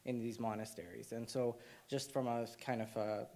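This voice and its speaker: A young man from Nepal, a documentary cinematographer speaking on a panel, talking with many pauses and restarts. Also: mid-range voice, fairly even intonation, vocal fry, darkish tone. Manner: hesitant, many fillers and restarts. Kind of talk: panel discussion.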